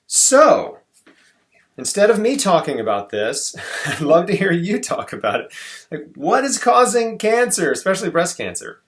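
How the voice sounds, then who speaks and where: A man in his thirties or forties hosting a conversational interview, phrasing his thoughts haltingly. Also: sits high, big pitch swings. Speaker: interview host. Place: home room indoors. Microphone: video call, desk distance.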